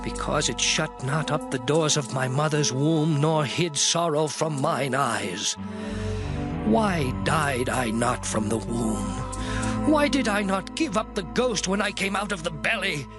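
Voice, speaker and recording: A man's voice: on the high side, expressive, a little slow. An actor playing a grieving man in anguish, declaiming mournfully in a solemn scripture drama. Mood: bitter lament. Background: music.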